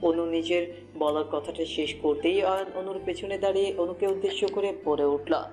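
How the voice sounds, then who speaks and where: A woman with a low-pitched voice narrates a scripted love story. Soft music plays faintly behind her as she reads. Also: fairly even intonation, normal speed.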